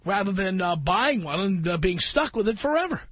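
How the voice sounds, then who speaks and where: A middle-aged American man hosting talk radio with an opinionated monologue, speaking in an emphatic, sardonic way with deliberate phrasing. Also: sits high, big pitch swings. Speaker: radio talk show host. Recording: dull, roomy radio recording with music.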